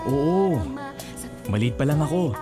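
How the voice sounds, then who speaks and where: An actor playing a kind, helpful young man in a Filipino radio drama, speaking in a gentle, earnest and friendly way. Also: fairly high pitch, animated, unhurried. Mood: friendly, warm. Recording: music bed behind the voice.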